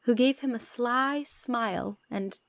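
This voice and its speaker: A woman's voice: fairly high pitch, measured pace, vocal fry, dry recording, studio quality. A woman reading scripted narration as the storyteller of an audio drama.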